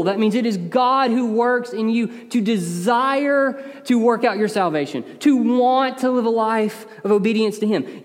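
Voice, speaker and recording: A man's voice: high-pitched, darkish tone. A man with an East Tennessee accent preaching a sermon, earnest and conversational, with emphatic rises. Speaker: pastor. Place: church sanctuary. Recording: long reverb of a large hall.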